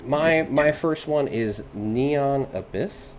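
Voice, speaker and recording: A man's voice: mid-range voice, slow, slight background noise. A man hosting a casual gaming podcast, blunt and profane as he moves through the segments.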